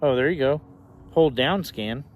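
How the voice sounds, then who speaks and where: A man's voice: medium pitch, lively intonation, unhurried. A man giving a casual hands-on demonstration as a hobbyist, hesitant and thinking aloud as he goes. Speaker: hobbyist reviewer demonstrating a shortwave radio.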